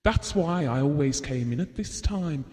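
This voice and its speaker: An actor playing a shy, devoted husband in an audio drama, speaking earnestly and nicely. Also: male voice, fairly high pitch, expressive, moderate pace, a little mellow, in a large hall, close to an everyday voice. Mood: fond, wistful. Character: shy, devoted, well-spoken husband reminiscing about his marriage.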